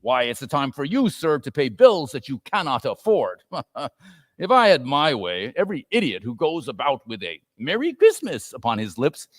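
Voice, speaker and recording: A man's voice: medium pitch, huge pitch swings, moderate pace. A man playing a grumpy, tight-fisted old miser in a storybook reading, curt, scornful and grumbling. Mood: bitter, dismissive. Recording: reverberant hall, live reading.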